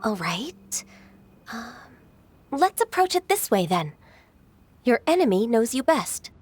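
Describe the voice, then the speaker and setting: An actress playing a dutiful, modest secretary in a video game, formal, composed and deferential. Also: female voice, a little high, big pitch swings, slow, darkish tone, soft background music. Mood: composed, helpful. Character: polite, modest, dutiful young-sounding woman secretary.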